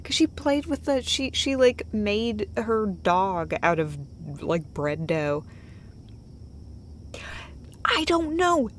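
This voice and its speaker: A woman playing an affectionate, playful girlfriend in an intimate audio roleplay, speaking casually and tenderly, often breathy and close to a whisper. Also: mid-range voice, very animated, takes it slowly, pauses often, slight background noise. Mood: chatty, animated. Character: affectionate, playful, chatty girlfriend comforting her partner.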